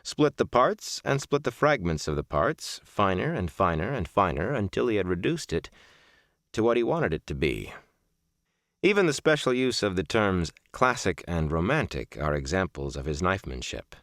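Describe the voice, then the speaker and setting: A man narrates a reflective audiobook in a very low voice. His phrasing is deliberate and measured, with lively rises and falls in pitch. The recording is close and dry. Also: no room sound. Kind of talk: scripted audiobook narration of a reflective first-person memoir novel.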